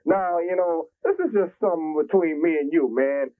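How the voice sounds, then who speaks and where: A man's voice: fairly high pitch, moderate pace. A man from Jamaica who calls in to a public access show and talks in a profane, boastful, rambling way. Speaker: caller. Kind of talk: crude phone-in rant. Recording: tinny phone line.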